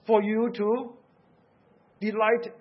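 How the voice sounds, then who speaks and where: A man's voice: high-pitched, flat delivery, slow pace, dull audio. A man from Singapore with a Singaporean English accent, preaching a sermon earnestly and urgently, asking rhetorical questions.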